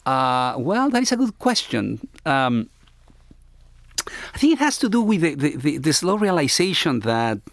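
Halting Ecuadorian-accented man, animated pitch. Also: no room sound. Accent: Ecuadorian Spanish. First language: Spanish. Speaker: interviewee, university academic. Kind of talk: reflective interview conversation.

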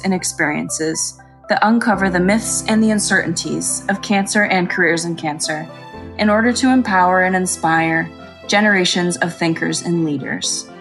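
A woman reads a polished podcast opener in a smooth, even tone at a mid pitch. Quiet instrumental music plays underneath. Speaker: podcast intro announcer. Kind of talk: scripted promo narration.

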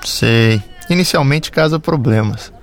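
A man reading his part in a scripted lesson dialogue, clearly and at a measured pace. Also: medium pitch, some chatter behind. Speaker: dialogue reader.

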